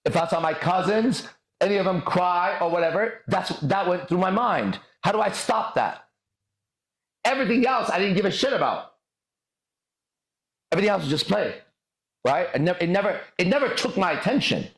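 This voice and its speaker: A man from Iran hosts a livestream podcast with an animated, swooping voice pitched a little high. He talks in emphatic, profane bursts with long, drawn-out pauses. The room around him rings with echo. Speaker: podcast host. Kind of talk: livestream motivational storytelling.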